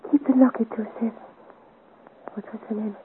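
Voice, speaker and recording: An actress playing a frail, dying young woman in a 1950s radio serial, mournful and resigned, speaking weakly in halting phrases. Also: fairly high pitch, steady tone, lightly acted. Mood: urgent, failing. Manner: halting, weak, broken phrases. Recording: scratchy, tinny 1950s radio recording.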